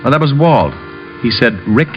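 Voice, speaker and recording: An actor playing a shrewd, glib American private detective in an old radio crime drama. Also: male voice, mid-range voice, expressive, moderate pace, close to an everyday voice. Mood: playful, coaxing. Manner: glib, probing, sardonic. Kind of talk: 1940s-50s radio detective drama acting. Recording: muffled old radio recording. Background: music.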